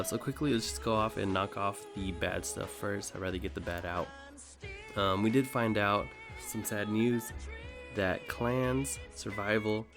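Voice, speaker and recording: A man hosting a gaming video, talking casually off the cuff in a hesitant, rambling way as he thinks aloud. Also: deep voice. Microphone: voice-over recorded over gameplay. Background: music under the voice.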